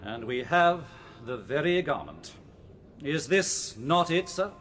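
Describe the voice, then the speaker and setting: A man acting a forceful, theatrical prosecuting barrister with a formal, probing manner in a courtroom radio drama. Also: fairly high pitch, very animated, takes it slowly, heavy background noise. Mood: formal, questioning.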